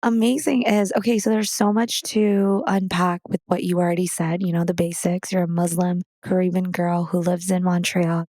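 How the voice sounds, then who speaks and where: A young woman hosting a podcast, telling a story in a chatty, animated way and then welcoming a guest. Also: medium pitch, no room sound. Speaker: podcast host. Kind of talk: casual storytelling and interview chat.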